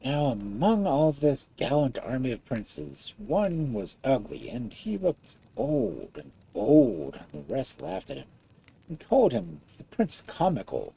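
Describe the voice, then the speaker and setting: A storyteller reading a fairy tale aloud in an expressive style. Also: mid-range voice, measured pace, gravelly, slightly rough, low-quality recording.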